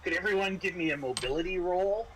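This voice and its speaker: A man working as game master, improvising horror narration in a halting, conversational way. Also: sits high, fairly bright tone, a little hiss or hum, low-quality recording.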